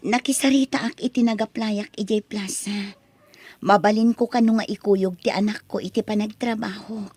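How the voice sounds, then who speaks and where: A woman playing a humble, pleading young single mother in an Ilocano audio drama, with an Ilocano accent and a breathy, husky voice. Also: medium pitch, steady tone, normal speed, dark tone, no echo, close to an everyday voice. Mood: subdued. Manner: pleading, emotional.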